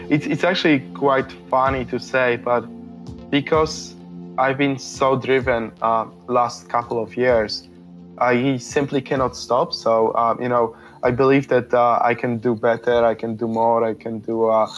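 A man's voice: medium pitch, little pitch movement, measured pace, faint music behind, rough recording. Man, thirties, from Poland, Polish native speaker, hesitant, reflective, many fillers. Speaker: interviewee. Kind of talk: reflective interview answers.

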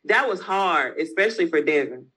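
A woman's voice: low voice, lively intonation, normal speed, fairly bright tone, husky. A woman entrepreneur talking casually as an interview guest, speaking frankly with slang and the odd curse. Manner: candid, frank, uses slang and mild cursing.

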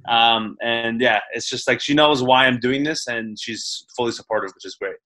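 A young man from the Toronto area talks about his comedy career in a loose, rambling way, full of restarts and casual swearing. His voice is bright and forward. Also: mid-range voice, normal speed, low-quality recording. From Toronto area, Canada. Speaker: stand-up comedian guest. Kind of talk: casual interview chat.

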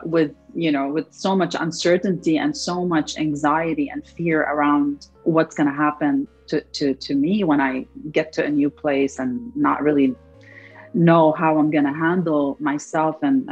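A woman's voice: deep voice, slightly rough, dull audio. A young woman from Lebanon, an entrepreneur answering interview questions in an earnest, reflective way, often repeating words as she searches for them. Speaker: entrepreneur guest.

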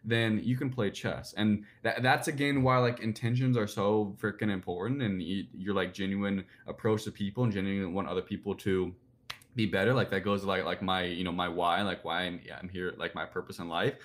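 A man's voice: low-pitched, fairly even intonation. A young man in his twenties answering interview questions about personal branding, rambling with lots of "like" and "you know". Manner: fast, rambling, filler-heavy with "like" and "you know". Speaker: guest, personal branding speaker.

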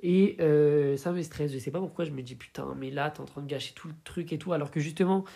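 A man's voice: on the high side, fast, creaky, warm tone. A tired man from Paris hosting a casual, confiding solo podcast, speaking informally with slang and a hesitant delivery. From Paris, France. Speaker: podcast host.

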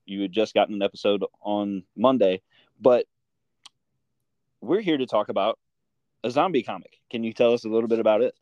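A man's voice: fairly low pitch, brisk, long pauses, darkish tone, no echo, dull audio. A man hosting a podcast in an informal, meandering, casual chat style. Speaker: podcast host.

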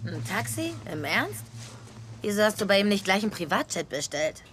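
A woman's voice: medium pitch, very animated, normal speed, fairly bright tone, slight background noise. A woman playing an eccentric, menacing drifter and killer in a German screen dub, speaking in a blunt, offhand way. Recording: music behind the voice.

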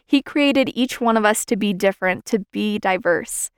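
A young woman hosting a podcast, giving an earnest, measured scripted talk on faith and belonging. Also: mid-range voice, smooth, dry recording, studio quality. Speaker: podcast host.

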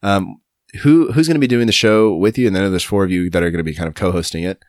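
A man in his thirties hosting a podcast, talking in a relaxed, rambling way with lots of fillers. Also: low-pitched, fast, dry recording, clean studio sound. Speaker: podcast host. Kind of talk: casual podcast interview and intro.

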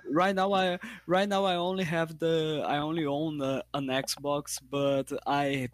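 A man from Brazil with a Brazilian Portuguese accent, co-hosting a casual gaming chat, playful and laughing often, with halting restarts. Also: a little high, little pitch movement. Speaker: podcast co-host.

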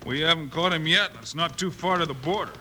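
Steady western lawman, noisy old radio. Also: on the high side. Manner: calm, measured, laconic. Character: steady, watchful western lawman hero, man. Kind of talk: 1950s western radio acting.

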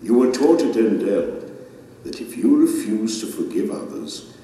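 An actor playing a majestic, stern king who judges souls in an audio drama, solemn, measured and authoritative. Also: fairly low pitch, lively intonation, mellow. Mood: stern, grave. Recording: very echoey hall, distant, faint music behind.

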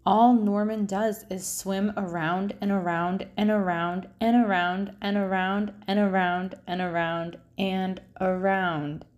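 A woman reading a children's picture book aloud expressively, with drawn-out phrase endings. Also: mid-range voice, fairly even intonation, darkish tone.

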